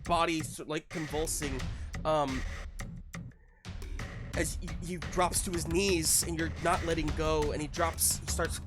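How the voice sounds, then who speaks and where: A game master hosting and narrating a tabletop roleplaying game, moving between drawn-out suspenseful narration and casual chat. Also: mid-range voice, steady tone. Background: music.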